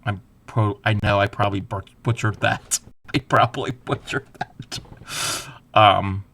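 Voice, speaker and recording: A man crocheting on a livestream, counting stitches softly under his breath and chatting casually with viewers. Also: fairly low pitch, steady tone, measured pace, gravelly, no echo. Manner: laughs at himself, chats back to viewers.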